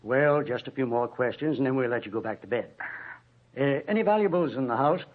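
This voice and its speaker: A man's voice: medium pitch, lively intonation, slight background noise, close to an everyday voice. Actor as a businesslike American policeman, tinny radio. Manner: brisk, official questioning. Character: brisk, businesslike police officer in charge. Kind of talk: old-time radio drama acting. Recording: tinny, narrow old radio recording.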